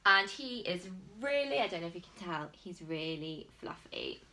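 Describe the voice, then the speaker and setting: An English woman in her thirties hosting her own crochet video podcast, her voice croaky, chatting warmly with plenty of ums. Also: on the low side, lively intonation, normal speed, poor audio. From England. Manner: chatty and friendly, many ums. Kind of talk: casual solo video podcast.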